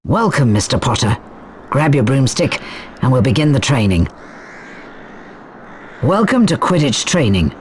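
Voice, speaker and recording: An actor playing a brisk, formal flying instructor at a wizard school, giving instructions in a video game. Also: male voice, mid-range voice, very animated, slight background noise. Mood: brisk, instructive. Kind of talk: video game tutorial acting.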